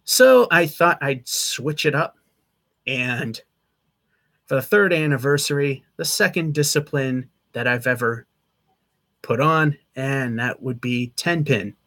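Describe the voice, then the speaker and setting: A man's voice: medium pitch, takes it slowly, a bit bright. A man in his thirties giving a casual, rambling vlog update from a small attic room, recorded on a webcam at desk distance. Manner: rambling, chatty, with fillers and restarts. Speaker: channel host. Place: small attic room with sloped wood-paneled ceiling.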